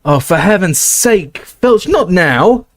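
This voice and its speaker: A man's voice: mid-range voice, animated, normal speed, a little mellow, dry recording, studio quality, natural voice. A man voicing a stern, commanding older female professor with a clipped, imperious manner. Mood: shouting.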